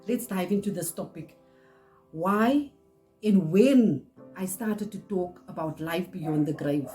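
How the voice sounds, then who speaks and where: A middle-aged woman from South Africa, Afrikaans-speaking, with a South African accent, telling a personal story in earnest, pausing often. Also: fairly low pitch, warm tone, soft background music. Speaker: channel host. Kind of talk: personal testimony to camera.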